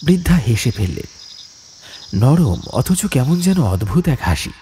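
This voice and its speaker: A man's voice: medium pitch, very animated, unhurried, dark tone, a little hiss or hum. A man narrating a horror audiobook in a dramatic, suspenseful storytelling style.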